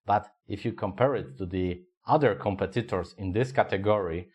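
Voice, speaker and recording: A man with a Polish accent co-hosting a podcast, speaking English as a second language. He talks casually in a halting way, searching for words with many fillers and swearing freely. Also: fairly low pitch, a little mellow, slightly rough, highs cut off. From Poland. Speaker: podcast co-host.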